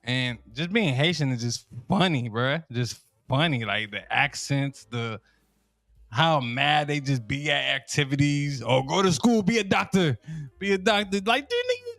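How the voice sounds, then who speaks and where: A man's voice: a little high, lively intonation, normal speed, creaky, fairly bright tone, dry recording, faint music behind. A young man from Miami with a Miami accent, telling comic stories in a loose, slangy way, repeating himself and laughing at his own lines. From Miami, Florida. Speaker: comedian. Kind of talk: casual stand-up style storytelling.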